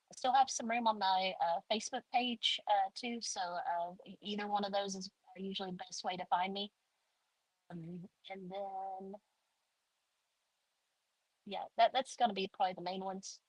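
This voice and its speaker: A woman's voice: medium pitch, dry recording, muffled recording. A woman author as interview guest, answering casually and hesitantly with many fillers.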